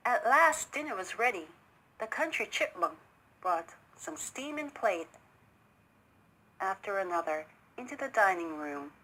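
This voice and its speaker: A woman reading a children's story aloud in an expressive narrating style. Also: mid-range voice, a little slow, poor audio. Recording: noticeable background noise.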